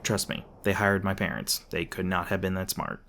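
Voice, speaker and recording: A man playing a gruff, impatient, sardonic monster hunter in an audio roleplay, with curt delivery. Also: low-pitched, steady tone, normal speed, a bit bright. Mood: low, reassuring. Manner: curt, sarcastic. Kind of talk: ASMR audio roleplay acting.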